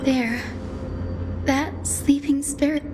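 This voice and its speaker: Gentle young heroine, slow-paced and higher-pitched. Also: female voice, fairly even intonation, noisy background. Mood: gentle, thoughtful. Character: young sky-folk heroine, gentle, optimistic healer and granddaughter. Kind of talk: voiced game story dialogue. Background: music.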